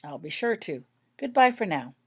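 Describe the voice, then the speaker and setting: A woman voicing a reserved, kindly man, a wizard, in a quiet, pleasant storybook reading. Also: lowish voice, very animated, moderate pace, husky, exaggerated voice.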